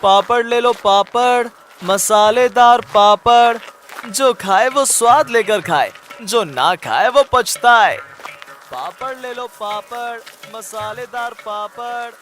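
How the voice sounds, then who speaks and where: A man's voice: very high-pitched, takes it slowly, a bit bright, soft background music, exaggerated voice. A man voicing a good-humoured, affectionate village farmer and hawker in a cartoon story, coaxing and reassuring. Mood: calling out wares.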